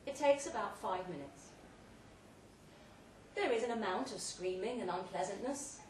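A woman giving a theatrical, deliberate live reading of a comic short story, with dramatic pauses. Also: medium pitch, animated, darkish tone. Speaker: story reader at a live literary event. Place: pub event room, before an audience. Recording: roomy, distant live recording, faint noise.

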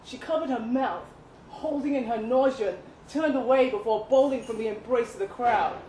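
A woman reading a literary short story aloud at a live event, with expressive, deliberate phrasing and dramatic pauses. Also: on the high side. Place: indoor live reading event at a pub venue. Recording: roomy live venue, some background noise.

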